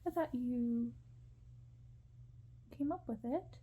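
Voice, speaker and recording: A woman's voice: fairly high pitch, slow pace, mellow, slightly rough. A woman reading a storybook in ASMR style, voicing a timid, sweet young princess with a meek, girlish manner. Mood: meek, polite.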